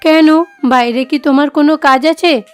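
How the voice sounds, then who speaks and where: A woman voicing a dutiful village mother in an animated moral story, coaxing and explaining things. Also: sits high, moderate pace, soft background music, close to an everyday voice. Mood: matter-of-fact. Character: dutiful, gently persuasive village mother, middle-aged wife.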